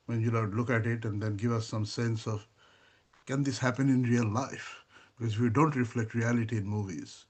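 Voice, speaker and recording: A man, a filmmaker, answering interview questions in a rambling, conversational way with frequent pauses. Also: medium pitch, slightly rough. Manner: rambling, many fillers and restarts.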